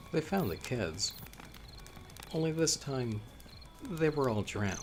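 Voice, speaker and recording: A man playing a young newcomer telling eerie local legends by a campfire in a horror audio roleplay, conversational and teasing with dramatic pauses. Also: fairly low pitch, noisy background. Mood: hushed intrigue. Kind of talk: casual spoken storytelling in horror audio roleplay.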